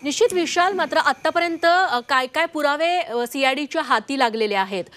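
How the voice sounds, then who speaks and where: Woman, news anchor, high pitch, live bulletin delivery. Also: moderate pace, fairly bright tone.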